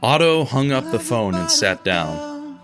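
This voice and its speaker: A man narrating a radio drama in a measured, somber storytelling voice. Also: lowish voice, big pitch swings, music in the background. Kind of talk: scripted narration.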